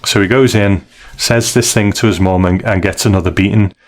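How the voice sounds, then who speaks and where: A man with a low voice chats as a podcast co-host. He recaps a story casually, rambling and restarting as he feels his way through it. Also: fairly even intonation, fairly quick.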